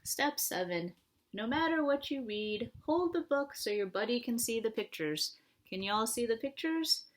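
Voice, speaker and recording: A woman reading a picture book aloud to young children in an animated way, often asking them questions. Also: mid-range voice.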